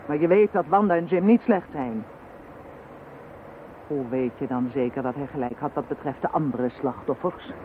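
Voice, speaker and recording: Man acting stern, accusing higher-voiced man. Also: normal speed, close to an everyday voice. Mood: accusing, grave. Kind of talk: Dutch radio drama acting. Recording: muffled narrow-band phone-like audio, noisy.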